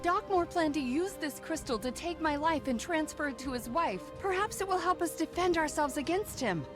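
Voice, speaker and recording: High-voiced earnest young fairy-tale heroine. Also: female voice, expressive, moderate pace, over music. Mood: earnest, urgent. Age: young adult. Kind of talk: dramatic video game acting.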